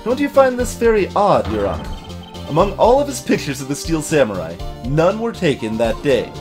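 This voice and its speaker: A young defense lawyer pressing his case in a courtroom game, acted by a man. He is earnest and dramatic with very lively intonation, over clear background music. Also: fairly high pitch, moderate pace. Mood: insistent.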